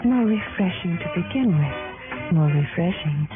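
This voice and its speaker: A cool flirt in a vintage radio commercial. Her voice is deep and inviting. It sounds narrow and tinny over loud guitar music. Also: animated, a little slow.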